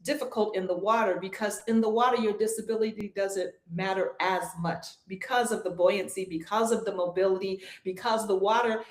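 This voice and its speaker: Calm, reassuring woman in an echoey room. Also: mid-range voice, brisk, hardly any pauses. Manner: calm, reassuring, repeats key points. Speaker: swim instructor. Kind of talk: interview answers.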